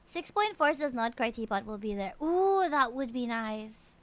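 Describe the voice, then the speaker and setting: A woman's voice: a little high, sing-song, moderate pace, fairly bright tone. A woman livestreaming a video game, chatting casually with viewers in an exclamatory, chatty way. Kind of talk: casual chat with viewers, reading game dialogue aloud. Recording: music behind the voice.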